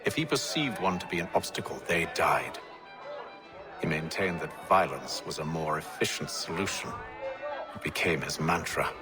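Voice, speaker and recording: Low male voice, dignified game hero, formal, measured, reflective, music underneath. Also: expressive. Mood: somber. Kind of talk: dramatic video game acting.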